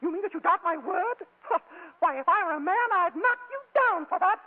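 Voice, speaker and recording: An actor playing a prim, easily flustered lady with a gushing, fussy, quick-tempered manner in an old comedy film. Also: very high-pitched, big pitch swings. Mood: indignant. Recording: tinny, band-limited old film sound, hiss.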